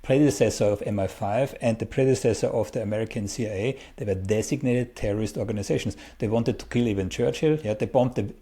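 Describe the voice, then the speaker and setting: Austrian man, low husky mellow voice. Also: fast talker, hardly any pauses. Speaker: interviewer. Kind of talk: political discussion.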